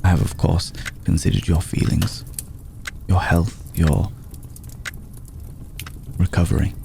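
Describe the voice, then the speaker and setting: Man as protective old vampire noble, dark mellow tone, unhurried, formal, hushed ASMR roleplay, faint background noise. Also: deep voice, steady tone, creaky, heavily acted. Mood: remorseful, intense. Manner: formal, measured, deliberate pauses. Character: ancient, courtly, protective male vampire lord. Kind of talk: ASMR roleplay, slow intimate scripted monologue.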